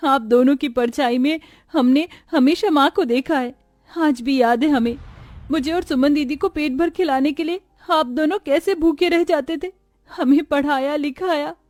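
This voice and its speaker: Brief: a woman playing earnest young cartoon sister, pleading and warm-toned. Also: high-pitched, moderate pace, no room sound, voice pushed up, close to an everyday voice. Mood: tender. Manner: pleading, emotional. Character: two poor young sisters, tender and tearful. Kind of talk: cartoon voice acting.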